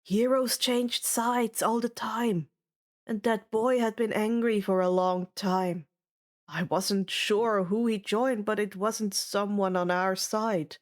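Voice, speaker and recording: A woman narrating fan fiction in an expressive audiobook style. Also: mid-range voice, slow pace.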